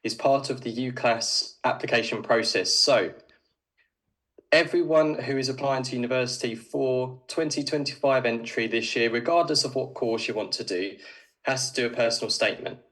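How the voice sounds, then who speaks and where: A man's voice: mid-range voice, normal speed, fairly bright tone, poor audio. A man, a doctor with a cold and a slightly snuffly voice, presenting to university applicants in a casual online talk full of fillers.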